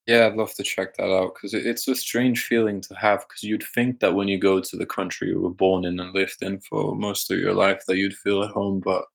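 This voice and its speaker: A young man from Denmark with a mixed Danish, American and British accent, talking casually and haltingly as a guest. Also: low-pitched, level tone, vocal fry. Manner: halting, searching for words. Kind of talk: casual chat.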